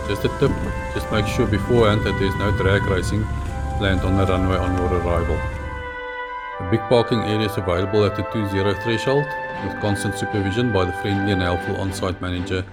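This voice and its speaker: A man narrates a flying travel vlog in a low voice. His delivery is scripted, measured and factual, with music clearly playing behind him.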